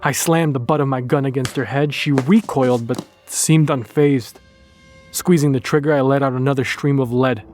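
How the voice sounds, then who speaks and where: A man playing a grim, hardened wartime soldier, gravely narrating a horror audio drama. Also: mid-range voice, steady tone, normal speed, music in the background, close to an everyday voice. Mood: grim, tense.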